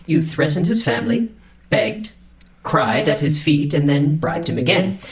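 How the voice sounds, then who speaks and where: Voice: mid-range voice, lively intonation, unhurried, roomy sound, crowd noise, natural voice, rough recording. A performer playing a prim, long-suffering android valet in a comic sci-fi audio drama, speaking with formal, fussy, deferential precision. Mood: patient reporting. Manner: formal, precise, servile, wordy.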